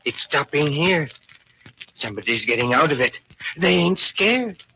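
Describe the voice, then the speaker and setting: High-pitched, rough-mannered henchman. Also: animated, unhurried, bright, forceful tone, heavily acted. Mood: uneasy. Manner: uneducated, deferential. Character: rough, servile henchman of a scheming widow. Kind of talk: 1940s radio acting. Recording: noisy vintage 1940s radio recording.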